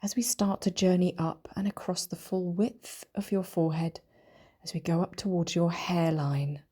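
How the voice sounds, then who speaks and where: A woman guides a meditation in a low, mellow voice. Her tone is soft and breathy, close to a whisper at times. She speaks gently and soothingly, leaving unhurried pauses between phrases. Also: fairly even intonation. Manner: gentle, soothing, slow and deliberate with long pauses. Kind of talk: guided meditation, body scan.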